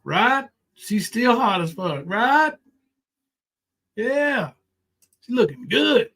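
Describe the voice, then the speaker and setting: A man's voice: very high-pitched, big pitch swings, drawn-out words, gravelly, a bit bright. A middle-aged man hosting a casual livestream, chatting in an informal, foul-mouthed way. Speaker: livestream host.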